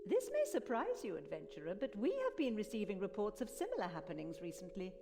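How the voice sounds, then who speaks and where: A woman's voice: mid-range voice, huge pitch swings, quick pace, background noise. A woman playing a proper, easily affronted wizard scholar in a fantasy video game, speaking formally and precisely. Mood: intrigued, analytical. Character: esteemed female wizard of Saradomin, proper and indignant scholar.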